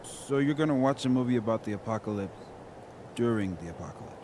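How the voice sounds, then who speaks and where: A man playing an earnest, cautious young friend in a video game, hesitant and gently wry. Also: medium pitch, expressive, fast talker, background noise, close to an everyday voice. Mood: puzzled, amused. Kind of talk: naturalistic video game acting.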